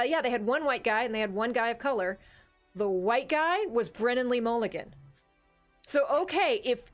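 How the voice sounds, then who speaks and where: High-pitched American woman, emphatic talker. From Bible Belt, United States. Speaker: podcast co-host. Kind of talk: opinionated casual discussion.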